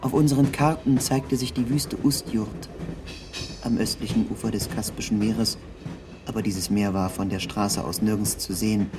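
A man reading a scripted literary narration in the first person, with measured, precise and formal diction. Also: on the low side, steady tone, background noise.

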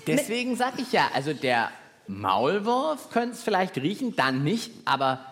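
Animated man, playfully puzzled co-host. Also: sits high, a little slow, a little hiss or hum. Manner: playfully puzzled, thinks aloud, exclaims. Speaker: co-host and test subject on a children's science show. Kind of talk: casual, spontaneous chat on stage. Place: live on stage at a podcast festival.

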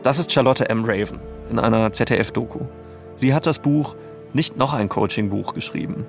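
A man's voice: medium pitch, moderate pace, vocal fry, soft background music. A man co-hosting a narrative podcast, reading scripted storytelling in a conversational, explanatory tone.

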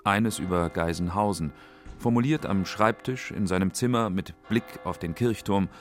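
A man's voice: low voice, moderate pace, soft background music. A man narrating a documentary feature from a script.

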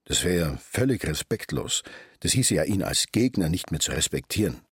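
A stubborn, proud grown-up son in a radio drama. His voice is deep and very husky, with lively swings in intonation, recorded close and dry. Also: moderate pace, no echo, exaggerated voice. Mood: indignant.

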